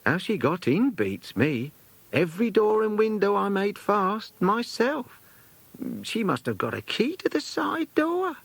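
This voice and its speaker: A man's voice: sits high, husky, no room sound, voice pushed up, heavily acted. A man voicing a fussy, kindly, easily indignant British lady's companion in a children's audiobook, with an emphatic, exclaiming manner.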